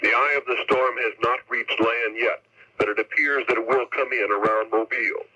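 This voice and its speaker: A man's voice: mid-range voice, steady tone, moderate pace, sharp tone, breathy edge. An American man reading a scripted news report as a correspondent over a tinny vintage radio feed. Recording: dull, tinny telephone-style radio feed.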